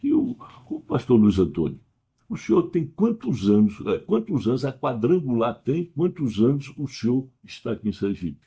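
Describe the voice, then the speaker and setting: A man hosting an interview show, talking in a casual, halting way with repeated words. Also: mid-range voice, very animated, creaky, mellow, breathy edge. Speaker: TV program host.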